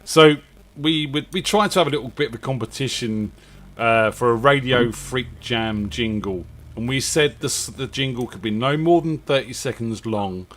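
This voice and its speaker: Croaky-voiced man hosting a call-in show. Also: medium pitch, moderate pace. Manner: relaxed and jokey, laughs often. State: slightly croaky voice. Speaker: host. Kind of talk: casual online radio chat. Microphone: video call.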